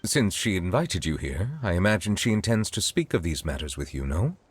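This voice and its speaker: A deep-voiced, grave chief justice. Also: male voice, sing-song, a little hiss or hum. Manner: measured, formal and grave. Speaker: narrator of past events. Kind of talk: game cutscene voice acting.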